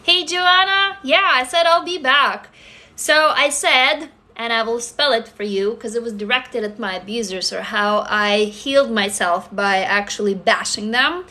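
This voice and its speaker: Bright Russian-accented woman, forties. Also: a little high, unhurried. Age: 40s. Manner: candid, swears freely, chatty asides to viewers. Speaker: livestream host. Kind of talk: casual livestream chat. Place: indoor room at a desk. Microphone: phone camera close up.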